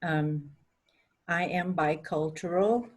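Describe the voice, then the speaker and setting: Woman, very slow, halting, soft, heartfelt. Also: low-pitched, breathy edge. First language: Cantonese. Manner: halting, searching for words, soft. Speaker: ordination participant.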